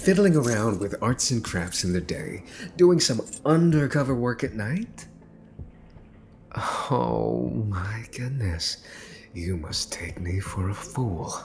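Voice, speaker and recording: A man playing a possessive, teasing young mafia boss's son in an ASMR roleplay, smug and taunting, slipping into breathy whispers. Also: on the low side, a little mellow. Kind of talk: ASMR boyfriend roleplay acting.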